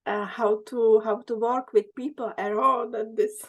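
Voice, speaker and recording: A woman's voice: fairly high pitch, fairly even intonation, unhurried. A woman with a Czech accent, a dance teacher, speaking hesitantly in English as she searches for words.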